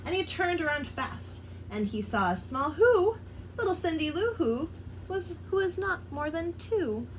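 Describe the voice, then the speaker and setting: A woman reading a children's rhyming book aloud, in an expressive, sing-song delivery. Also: extremely high pitch, unhurried, slight background noise, rough recording. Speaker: storyteller.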